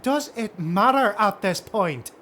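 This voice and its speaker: A man voicing a blunt, urgent female dark elf raider in a fantasy audiobook. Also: high-pitched, lively intonation, slow pace, slight background noise, pitched above the performer's own voice, full character voice. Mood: alarmed, urgent.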